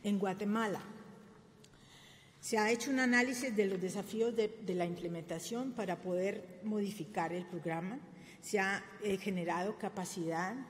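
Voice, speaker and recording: A woman's voice: mid-range voice, moderate pace. An older woman giving a formal presentation at a podium, speaking with frequent fillers and self-corrections. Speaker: conference speaker. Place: government press room. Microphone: podium microphone. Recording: reverberant room, faint ambience.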